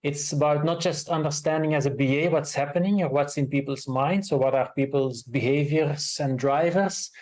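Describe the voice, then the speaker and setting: A man co-authoring a book, answering interview questions in a thoughtful, measured way with pauses. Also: on the high side, a little mellow. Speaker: co-author guest. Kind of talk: casual podcast interview.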